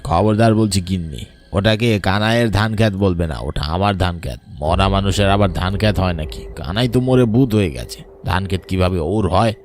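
Man playing a gruff, deep farmer husband. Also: fast talker, a little hiss or hum, lightly acted. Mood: stern. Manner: teasing, dismissive, gruff. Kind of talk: dramatized storytelling.

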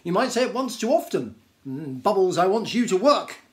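A man's voice: high-pitched, big pitch swings, normal speed, a little mellow, voice pushed up. A man voicing a lofty, commanding desert djinn from a children's storybook, emphatic and theatrical in his scolding. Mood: warning.

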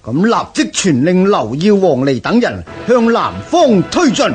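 A man with a Hong Kong accent playing a commanding, scheming Xiongnu chieftain in a historical radio drama, with a husky voice. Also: sits high, wildly swooping pitch, a little slow, faint music behind, heavily acted. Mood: calculating, commanding.

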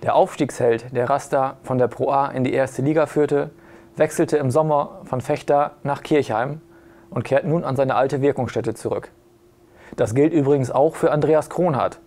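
A man's voice: mid-range voice, steady tone, moderate pace, a little mellow. A man in his thirties delivering a scripted sports report in an office. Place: small newspaper office, indoors.

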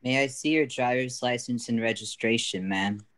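A man's voice: medium pitch, moderate pace, fairly bright tone, lightly acted. An older man playing a lenient, matter-of-fact police officer in an amateur radio play. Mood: official.

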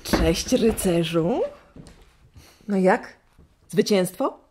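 An actress playing an affectionate, cheerful mother in a children's radio play, doting and expressive. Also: on the low side, very slow, a little hiss or hum. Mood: reassuring.

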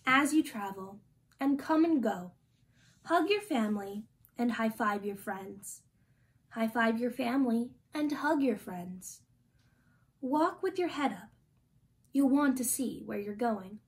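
Storytime reader: woman, 20s, high pitch, unhurried delivery, gently expressive, reading to kids. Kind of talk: reading a children's picture book aloud. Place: small indoor room.

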